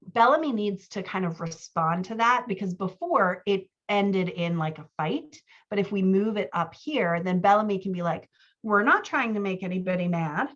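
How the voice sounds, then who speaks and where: A woman's voice: mid-range voice, animated, moderate pace, a bit bright. Woman coaching in slightly dull audio. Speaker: book coach giving feedback on a writer's scenes. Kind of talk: casual coaching talk mixed with reading out scene dialogue.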